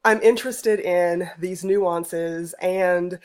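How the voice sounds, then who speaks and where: A woman giving an informal narrated presentation as a researcher, explaining things in a halting way with pauses mid-phrase. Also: on the low side, fairly even intonation, a little mellow. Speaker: student researcher presenting an ethnography project.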